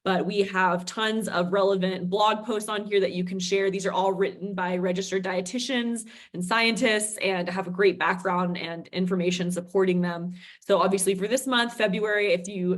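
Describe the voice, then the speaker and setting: A woman, a marketing vice president, presenting on a company call with a slight rasp from a head cold, chatty and encouraging. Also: medium pitch. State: head cold, a little raspy.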